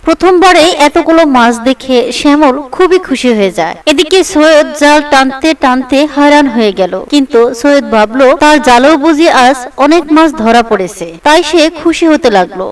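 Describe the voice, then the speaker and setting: A high female voice narrates a scripted children's story. She sits a little away from the microphone. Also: far from the mic, rough recording.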